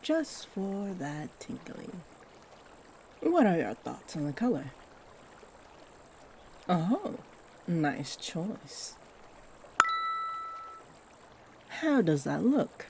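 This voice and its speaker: A woman voicing a kindly fairy in a fantasy roleplay, gently encouraging and fussing over the listener with sweet endearments. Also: lowish voice, big pitch swings, drawn-out words, vocal fry, pauses often, background noise, full character voice. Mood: warm, helpful. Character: kindly, doting fairy who gives magical makeovers. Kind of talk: fantasy roleplay audio drama, addressing the listener.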